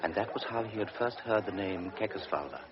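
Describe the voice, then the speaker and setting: A man narrating a literary radio drama in a deliberate, solemn manner. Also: lowish voice, expressive, a crowd in the background, lightly acted. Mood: measured. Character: measured storyteller of a pre-war tale. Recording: dull vintage radio recording with music.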